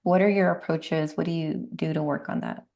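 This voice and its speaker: A woman hosting a podcast, asking questions and affirming her guest. Her voice is on the lower side with a gravelly fry. Her delivery is casual and encouraging. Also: steady tone, normal speed, darkish tone. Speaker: podcast host, dietitian.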